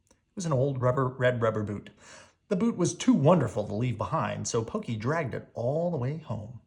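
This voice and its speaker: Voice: medium pitch, animated, moderate pace, a little mellow. A storyteller reading a children's picture book aloud expressively, drawing out key words.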